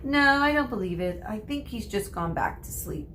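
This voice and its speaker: A woman's voice: medium pitch, lively intonation, normal speed, noisy background, pitched above the performer's own voice, natural voice. A woman reading the part of a young schoolboy in a storybook, frank, plain-spoken and a little grumpy. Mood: matter-of-fact.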